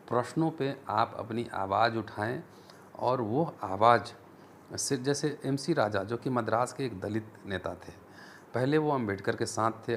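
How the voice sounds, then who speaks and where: A man giving an earnest, deliberate explanatory talk as a teacher and channel host, mixing in English terms. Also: fairly low pitch, creaky, slight background noise. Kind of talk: explanatory political history talk to viewers.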